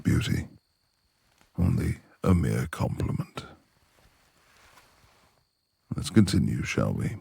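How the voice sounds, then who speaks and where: A man playing a brooding, reclusive beast-like lord in a romantic ASMR roleplay, proud and courteous with a quick temper, speaking in formal, old-fashioned phrases with a gravelly, husky voice. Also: rumbling low, no echo, exaggerated voice. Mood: warming, courteous, then polite, guiding.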